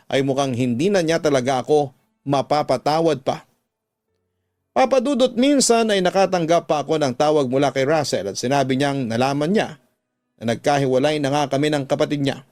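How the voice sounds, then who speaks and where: A man's voice: a little high, darkish tone. A man with a Filipino accent reading a listener's letter aloud as a dramatic radio storyteller and host. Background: background music.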